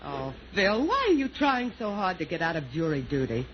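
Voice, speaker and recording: A patient but teasing wife in a 1940s radio comedy. Her delivery is dry and animated, with plenty of movement in pitch. The vintage recording is hissy and narrow in band. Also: moderate pace.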